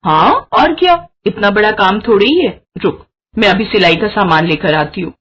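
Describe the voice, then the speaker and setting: A woman voicing a fond, teasing village mother in a cartoon moral story, affectionate and gently scolding. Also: mid-range voice, lively intonation, moderate pace, slightly rough.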